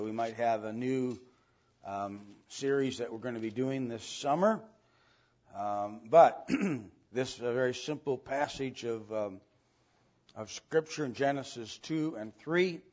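A man teaching an informal Bible lesson to a church group, with halting delivery and frequent pauses to clear his throat. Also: mid-range voice, a little mellow. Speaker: church Sunday school teacher. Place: church room with a group present. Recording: dull, lo-fi church recording.

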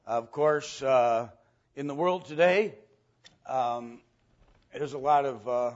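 Halting male Sunday school teacher, dull recording. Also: medium pitch. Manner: halting, with long hesitations and throat clearing. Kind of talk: informal Bible lesson to a group. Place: church room with a group present.

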